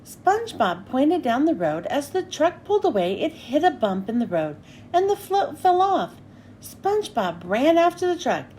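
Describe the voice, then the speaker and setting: A woman reading a picture book aloud to children with an expressive, animated delivery. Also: sits high, moderate pace, a little hiss or hum.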